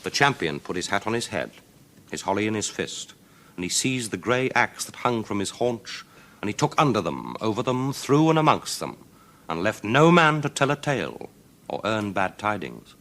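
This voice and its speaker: A man's voice: fairly low pitch, very animated, moderate pace, fairly bright tone. A man narrating a children's folk tale in a theatrical, declamatory and rhythmic way. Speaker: storyteller. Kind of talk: dramatic children's storybook narration.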